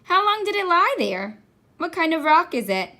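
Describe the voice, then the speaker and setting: A woman's voice: very high-pitched, sing-song, normal speed, bright, forceful tone, pitched above the performer's own voice, full character voice. A woman voicing an eager seven-year-old girl in a picture book read-aloud. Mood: eager, curious. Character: excited seven-year-old girl narrating her family surprise.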